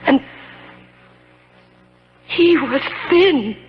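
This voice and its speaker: Faint distraught young lady, high pitch, breathless melodrama, 1970s radio drama, dull recording. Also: lively intonation, very slow, faint music behind, full character voice. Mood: horrified. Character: distraught young gentlewoman, horror-struck and faint.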